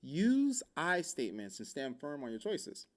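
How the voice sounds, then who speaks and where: A man's voice: on the high side, huge pitch swings, a little slow. A young man from Oahu telling a casual story, acting out quoted lines in shifting voices. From Hawaii, Oahu. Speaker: podcast host.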